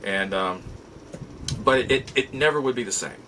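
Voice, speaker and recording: A bright, keen-edged voice from a middle-aged man of average pitch, with slight noise behind it. He hosts casually, reminiscing in halting, reflective phrases and repeating words for emphasis. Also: low-quality recording. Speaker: show host. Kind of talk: casual nostalgic storytelling to viewers.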